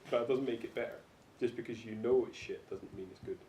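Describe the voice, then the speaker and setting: A man's voice: steady tone, quick pace, warm tone. A man with a Scottish accent chatting casually and sardonically about games, with firm opinions. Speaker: podcast panellist. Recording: echoey room, faint rumbling noise.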